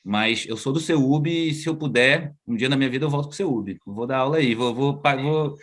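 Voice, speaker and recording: A man's voice: medium pitch, normal speed. Man, Brazilian, thirties, casual, slangy, tag questions, video call. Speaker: guest psychologist and researcher. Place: outdoors at home, moving inside.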